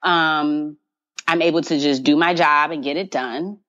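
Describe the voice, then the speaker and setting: A woman's voice: deep voice, fairly even intonation, unhurried, a bit bright, muffled recording. A woman in her twenties, a podcast guest talking casually and confidently, with frequent "you know" fillers and easy laughs. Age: 20s. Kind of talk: casual conversational interview.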